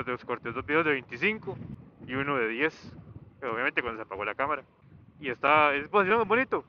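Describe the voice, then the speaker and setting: A man chatting casually and unscripted as he rides a motorcycle, rambling, hesitant and repeating himself, recorded through a helmet intercom microphone. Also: mid-range voice. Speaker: motorcycle vlogger. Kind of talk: casual unscripted chat while riding. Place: outdoors, riding a motorcycle on a highway. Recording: muffled helmet intercom mic, steady vehicle noise. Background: faint motor and road noise.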